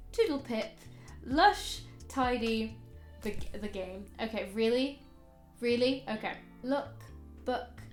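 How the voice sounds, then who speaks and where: Brief: woman in her twenties, mixed British accent, chatty vlogger, roomy echo, faint music behind. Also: on the high side. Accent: mixed British, hard to place. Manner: animated, chatty, playful. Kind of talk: casual chatty vlog.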